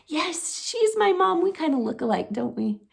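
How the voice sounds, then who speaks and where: A middle-aged woman teaching preschoolers, talking to small children in an animated, sing-song teacher voice. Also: very high voice, normal speed, vocal fry. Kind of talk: children's circle-time lesson to camera.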